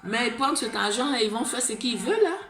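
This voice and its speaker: A woman's voice: fairly high pitch, animated, fast talker, fairly bright tone. A woman from Côte d'Ivoire with an Ivorian accent, speaking in an impassioned, pleading monologue to camera, rambling and tagging phrases with "là". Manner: fervent and pleading, rambling with repeated "là" tags. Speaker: vlogger. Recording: roomy echo with music behind.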